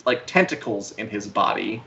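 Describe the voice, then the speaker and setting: A man's voice: on the low side, animated, moderate pace, creaky, pushed and bright. A man co-hosting a casual pop culture livestream, chatting in a wry, opinionated, colloquial way. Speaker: co-host of an entertainment news live show. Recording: dull, lo-fi livestream audio.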